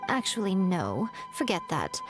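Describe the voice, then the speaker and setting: A woman's voice: mid-range voice, huge pitch swings, a little slow. A woman playing a sly, self-assured shrine maiden in a video game, with a playful, knowing, theatrical way of talking. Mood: teasing. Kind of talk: scripted video game dialogue. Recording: music behind the voice. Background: game music.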